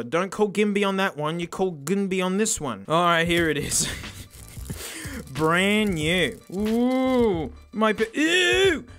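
A hoarse man, excitably reviewing a gadget in casual, exclamatory commentary. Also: high voice. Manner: excitable, exclamatory, self-mocking.

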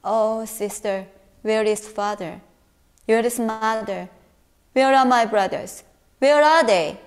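A woman's voice: fairly high pitch, takes it slowly, smooth. A woman voicing a desperate young son from a folktale, urgent and pleading. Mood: anxious.

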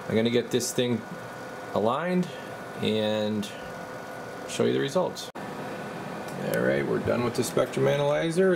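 A man speaks in a deep register with plenty of gravelly fry. He is plain-spoken and informal, thinking aloud as he demonstrates repair work like a technician. A clear bed of background noise sits behind his voice. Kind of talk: casual hands-on repair demonstration.

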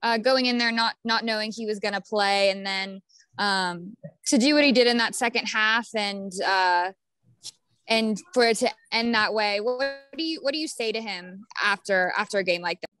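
Bright-voiced young female reporter. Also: mid-range voice, moderate pace, clean tone, dry recording. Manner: hesitant, with fillers. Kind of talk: press conference questions. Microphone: earbuds on a video call.

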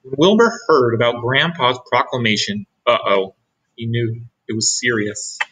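A middle-aged man giving an expressive read-aloud of a children's storybook. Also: mid-range voice, moderate pace, husky, poor audio. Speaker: storybook reader.

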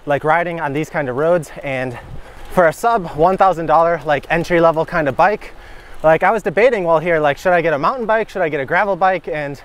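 An American man talking casually and enthusiastically in an easygoing, informal vlog style while riding a bike outdoors. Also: fairly high pitch. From Michigan, United States. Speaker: cycling vlogger. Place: outdoors on a gravel country road, riding a bike.

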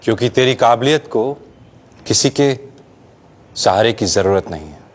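An actor playing a young groom, speaking a slow, heartfelt and gently teasing wedding tribute in a scripted ad monologue. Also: male voice, mid-range voice, expressive, natural voice. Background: faint background music.

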